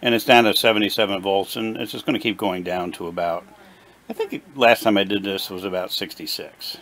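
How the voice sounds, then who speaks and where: A man giving a casual, unscripted hands-on repair demonstration in a plain, halting, explanatory way at a workshop bench. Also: fairly low pitch, a bit bright. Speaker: presenter of a radio repair video. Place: small indoor workshop at a workbench.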